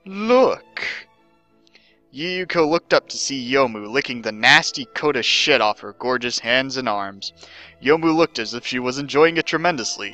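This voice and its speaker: Bright, animated theatrical male narrator. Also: mid-range voice. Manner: theatrical, emphatic. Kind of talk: mock-dramatic scripted story reading.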